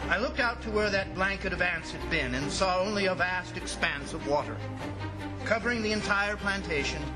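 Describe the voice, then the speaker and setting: An actor playing a grave commissioner, an awed eyewitness narrating in a measured, dramatic old radio style. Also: male voice, high-pitched, lightly acted, low-quality recording.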